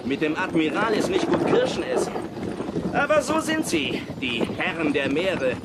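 A man's voice: on the high side, wildly swooping pitch, moderate pace, heavy background noise. An actor playing a sly, good-natured old seafaring coachman in a children's radio play, rambling and folksy. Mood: sly, chatty. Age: older. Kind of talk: German children's audio drama acting.

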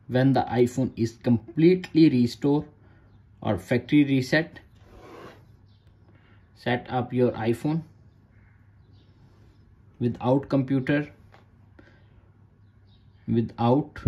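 Guy slowly walking you thru phone steps, mellow voice, short repeated commands. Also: medium pitch. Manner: short repeated instructions in non-native English. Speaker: tech tutorial host. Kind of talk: step-by-step screen tutorial narration.

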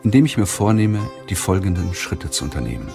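Deep-voiced man narrating an audiobook. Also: big pitch swings, a little fast, vocal fry, over music. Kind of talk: scripted audiobook narration of a self-help book.

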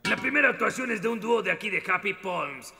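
Showy, creaky-voiced cartoon rhino emcee. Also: male voice, high voice, expressive, quick pace, in a large hall, very noisy. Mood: jokey. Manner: showy, joking. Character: showy male rhinoceros comedy-night emcee in a suit. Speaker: host. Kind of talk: stand-up emcee patter, cartoon dub.

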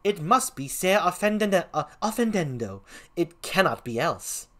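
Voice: mid-range voice, sing-song, moderate pace, fairly bright tone. A performer voicing a cocky male clown gravedigger in a stage play, pompous and mock-learned as he declaims. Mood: pompous, declaiming.

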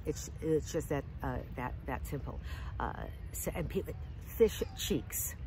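An older woman telling funny food stories in a chatty, animated way, filmed outdoors in the woods on a close phone camera. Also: low-pitched, unhurried, slight background noise. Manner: chatty, animated, with lots of fillers and jokes. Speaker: vlog host. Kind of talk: casual comic storytelling.

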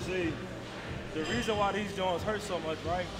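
A man's voice: high-pitched, steady tone, normal speed, very noisy. A man bantering casually in a gym with laid-back slang and trash talk. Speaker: athlete. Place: large indoor gym. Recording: loud music over the voice. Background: loud gym music.

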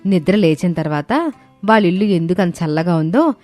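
A woman's voice: lowish voice, expressive, a little fast, warm tone, faint music behind, lightly acted. A woman doing a nosy gossiping village neighbor. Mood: curious. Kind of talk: cartoon story dubbing.

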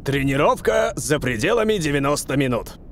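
A man voicing a stern, burly middle-aged football coach in a cartoon, commanding and brisk. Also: on the high side, big pitch swings, creaky. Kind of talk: cartoon voice acting.

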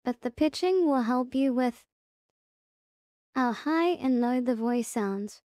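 A woman presenting a casual software tutorial step by step, unhurried and thinking aloud as she goes. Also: high voice, expressive, dry recording, clean studio sound.

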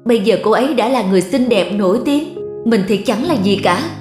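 Woman voicing a high-pitched, self-doubting young man. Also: animated, a little fast, roomy sound, natural voice. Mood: wistful, self-doubting. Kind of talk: animated cartoon dub. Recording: music behind the voice.

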